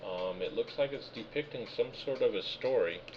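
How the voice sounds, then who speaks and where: A man's voice: fairly low pitch, normal speed. A man running a tabletop game, reading out room descriptions and answering players patiently. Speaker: game master. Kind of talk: narrating a tabletop game session. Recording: muffled voice call with background noise.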